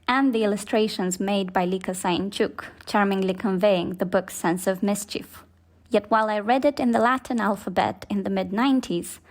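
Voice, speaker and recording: Young woman from Moldova, native Romanian speaker, mid-pitched, delivering scripted first-person essay narration. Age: young adult. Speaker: journalist and author, essayist.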